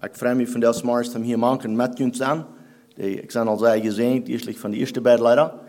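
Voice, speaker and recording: A man whose first language is Plattdeutsch, preaching a sermon in halting German that he mixes with English phrases. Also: medium pitch, little pitch movement, darkish tone. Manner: halting, searching for German words, mixing in English.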